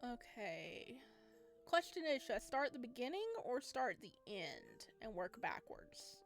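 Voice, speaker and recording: A woman's voice: on the high side, sing-song, unhurried, soft background music. A woman giving casual, chatty let's play commentary as she plays a story game. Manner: chatty, informal. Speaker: gamer playing and reacting to a visual novel.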